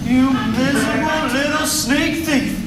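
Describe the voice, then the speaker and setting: Actor as surly high-voiced Southern fugitive. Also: male voice, measured pace, crowd noise. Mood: accusing. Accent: American Southern. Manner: blunt, accusing. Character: surly, suspicious male Southern fugitive, quick to anger. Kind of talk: live stage radio play acting. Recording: very echoey theater with audience sounds.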